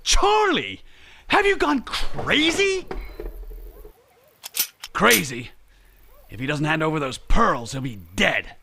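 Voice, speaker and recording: Guy playing rich schemer in old radio mystery, bright tense voice, very animated pitch, emphatic. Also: takes it slowly, creaky, pauses often, husky, heavily acted. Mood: threatening, alarmed. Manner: dramatic, emphatic. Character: rich American man and his scheming crooked impostor double. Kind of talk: old detective film radio dramatisation.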